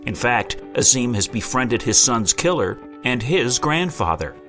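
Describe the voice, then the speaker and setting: A man's voice: medium pitch, big pitch swings, unhurried, fairly bright tone. A man announcing a podcast in a polished, scripted delivery. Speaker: show announcer. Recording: guitar music bed behind.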